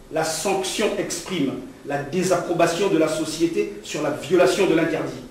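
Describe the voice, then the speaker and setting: A middle-aged man from Congo giving a speech at a press conference, moving from measured statements to fervent, rousing oratory. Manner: rousing, rising to fervent preaching pitch when speaking to the crowd. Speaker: political candidate at a press conference. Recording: echoey hall from far off, some noise.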